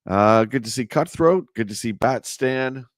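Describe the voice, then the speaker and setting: A man with a hoarse voice hosting a casual livestream, chatting and answering viewer comments. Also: mid-range voice, expressive, unhurried, no echo, studio quality. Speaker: livestream host. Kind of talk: casual live chat reading a magazine.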